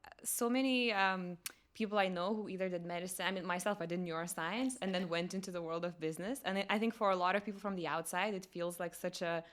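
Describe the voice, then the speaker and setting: A woman hosting an interview, encouraging and quick to laugh, with hesitant, searching phrasing. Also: on the low side, steady tone, fairly bright tone. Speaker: podcast host. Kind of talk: casual interview conversation.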